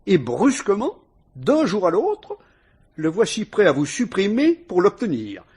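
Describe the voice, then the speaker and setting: An actor playing a shrewd police inspector who questions a suspect with dry irony, in a vintage radio drama. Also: male voice, high voice, huge pitch swings, measured pace, darkish tone, breathy edge, exaggerated voice. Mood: probing, ironic. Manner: sly, probing, mocking interrogation.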